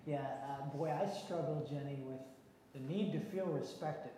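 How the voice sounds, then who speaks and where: Chatty pastor in a booming hall. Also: male voice, normal speed, mellow. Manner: folksy, self-deprecating, chatty. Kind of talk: leading a church discussion. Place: church sanctuary. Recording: echoey hall, faint noise.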